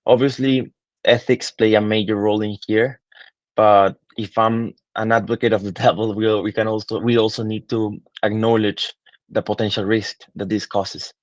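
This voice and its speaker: A man's voice: fairly low pitch, level tone, moderate pace, no room sound, dull audio. A man in his twenties from Peru, a digital marketing consultant answering interview questions thoughtfully, with many fillers and restarts. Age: 20s.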